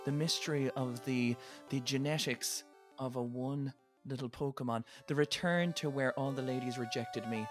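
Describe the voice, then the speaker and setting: A game master playing a hushed storyteller who draws out a mysterious legend with teasing suspense. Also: medium pitch, normal speed, hardly any pauses, faint music behind, pitched below the performer's own voice, close to an everyday voice.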